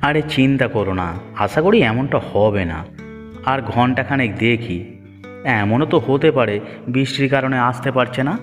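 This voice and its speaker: A man's voice: mid-range voice, normal speed, in a large hall, soft background music, lightly acted. A man playing a fretful but firm middle-aged village uncle in a fairy-tale cartoon. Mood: gruff reassurance. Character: worried village uncle, gruff but reassuring husband.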